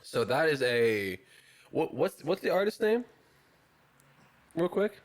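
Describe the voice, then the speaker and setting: A man's voice: a little high, big pitch swings, slow pace, slight background noise. A man hosting a casual podcast chat, loose and profane, often breaking into laughter. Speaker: podcast host.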